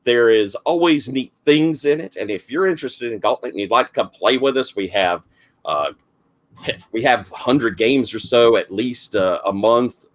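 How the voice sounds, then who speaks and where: Southern game master: middle-aged man, gravelly, rambling and full of fillers, talking over an online call. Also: mid-range voice, moderate pace. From South Carolina, US. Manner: rambling, lots of fillers and restarts. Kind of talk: casual introduction of a game.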